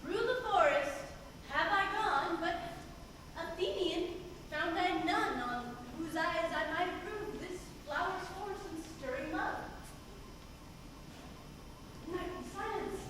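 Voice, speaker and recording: A woman playing a sly, mischievous sprite servant, speaking verse in a hushed, measured way on a stage, recorded from far off in an echoey hall. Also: very high voice, background noise. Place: theatre stage.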